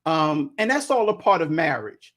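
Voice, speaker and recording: A man, a guest instructor talking about marriage in a halting way, with frequent ums and restarts. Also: a little high, big pitch swings, a bit bright. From Brooklyn, New York. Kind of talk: casual chat on marriage.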